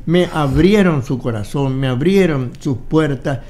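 A man's voice: fairly high pitch, unhurried, darkish tone. A man in his eighties with an Argentine Spanish accent, a guest in a studio interview, telling his story animatedly and acting out old conversations. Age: 80s. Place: studio with wall photos. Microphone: studio mic on boom arm.

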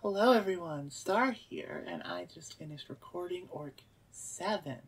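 Chatty woman with a creaky voice. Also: lowish voice, animated, a little slow, recorded from a distance, poor audio. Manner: chatty, self-correcting, emphatic repeats. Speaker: audio roleplay creator and voice actor. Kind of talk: casual outro chat to listeners. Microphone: close studio-style microphone, seated.

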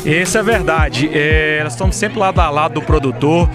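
A man who manages a bank branch speaks in a polished, corporate, promotional way. His voice is slightly high, with music clearly in the background. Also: moderate pace.

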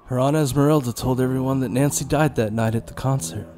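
A man's voice: medium pitch, fairly even intonation, quick pace, dark tone, a little hiss or hum, natural voice. An actor playing an earnest, uneasy young man haunted by a friend's fate, speaking hesitantly in an audio drama. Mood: bitter. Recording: music behind.

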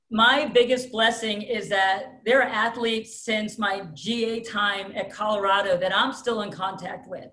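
A middle-aged woman with a thick New York accent talks plainly and bluntly, a self-styled alpha female. Her voice sits a little high and comes through a webcam in a room at home. The video-call sound is noticeably roomy and echoey. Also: a bit bright. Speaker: high school strength coach, guest. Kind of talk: casual interview talk.

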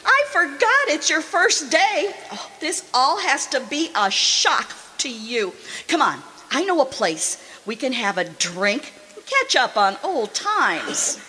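A woman acting in a radio drama as a kindly, excitable former gold-rush saloon girl, with a folksy, gushing manner. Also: high-pitched, slow, heavily acted. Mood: excited.

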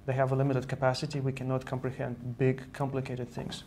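Gravelly man. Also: medium pitch, completely flat delivery, a little fast, darkish tone, a little hiss or hum. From Estonia. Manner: earnest, repeats words as he explains. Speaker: guest, software engineer. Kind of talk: studio interview. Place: virtual news-style studio set.

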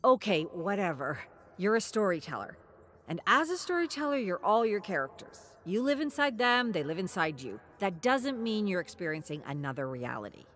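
A woman's voice: medium pitch, big pitch swings, moderate pace, a bit bright. A woman voicing a brash, teasing young man who goads and coaxes his anxious partner in a dramatic story. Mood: dismissive, teasing. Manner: emphatic, goading, dismissive.

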